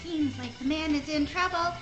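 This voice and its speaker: Tiny high-voiced swamp bug played by a woman. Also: moderate pace, muffled recording, soft background music. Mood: earnest urgency. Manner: folksy swamp dialect. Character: tiny earnest, officious bug. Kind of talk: live radio play acting. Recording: roomy live stage, quieter than others.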